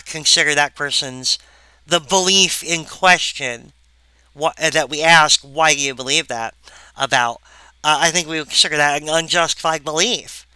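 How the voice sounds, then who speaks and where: Slow, bright-voiced woman lecturing. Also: sits low, smooth, no echo, rough recording. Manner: halting, wry asides to the viewer. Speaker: philosophy lecturer. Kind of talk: recorded lecture.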